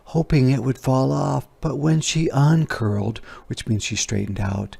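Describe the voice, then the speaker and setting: A man reading a children's story aloud as a teacher, pausing to explain words and ask questions, stretching key words. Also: mid-range voice, vocal fry, warm tone. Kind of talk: interactive children's read-aloud.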